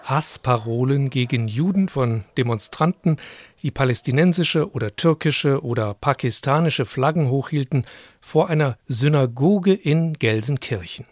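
A man's voice: medium pitch, lively intonation, unhurried. A man from Germany, co-hosting a radio feature with scripted narration and interview questions. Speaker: co-host of a radio programme.

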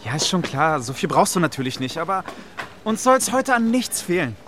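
A man's voice: on the high side, very animated, moderate pace, fairly bright tone, slight background noise. An actor playing a teasing, earnest young man in dialogue from a literary radio play. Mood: playful, reassuring. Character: Friedrich, bantering, caring young man, second-generation immigrant in Germany.

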